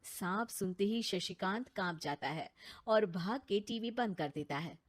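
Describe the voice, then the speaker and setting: A woman's voice: medium pitch, expressive, a little slow. A woman reading scripted narration for a story.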